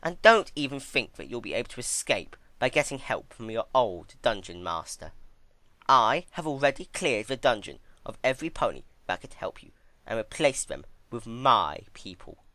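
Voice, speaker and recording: A man's voice: medium pitch, big pitch swings, normal speed, pushed and bright, no room sound, voice pushed up. A man voicing a young female pony tyrant, teasing and gloating in a mockingly cheerful way in a dramatic story reading. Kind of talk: dramatic fan fiction reading.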